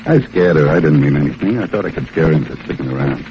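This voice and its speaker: An actor playing a shrewd, menacing gangster in a 1940s radio crime drama, terse, guarded and slangy. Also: male voice, rumbling low, lively intonation, fast. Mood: pressing, uneasy. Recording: muffled, noisy vintage radio recording.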